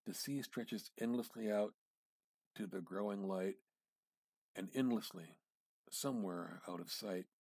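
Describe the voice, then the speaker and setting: Husky, slow-paced man reading dramatically. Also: on the low side, darkish tone, no room sound. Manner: theatrical, halting phrases with pauses and emphatic repetition. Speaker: amateur reader. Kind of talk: dramatic reading of a literary short story.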